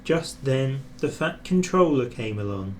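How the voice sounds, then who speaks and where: Unhurried man reading a children's story. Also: mid-range voice, animated, a little hiss or hum. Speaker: storybook reader. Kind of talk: expressive scripted children's story narration.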